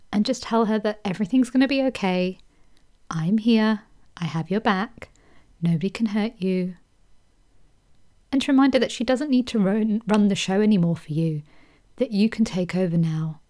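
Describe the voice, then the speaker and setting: Soft-spoken woman guiding a meditation. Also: mid-range voice. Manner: slow soothing delivery with soft whispered phrases. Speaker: meditation guide. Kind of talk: guided meditation.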